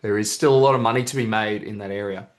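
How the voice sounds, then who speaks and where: A man's voice: fairly low pitch, steady tone, fast. A man speaking as a host and coach, introducing and talking with a guest in a casual online interview. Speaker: host, property investment coach. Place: remote video call.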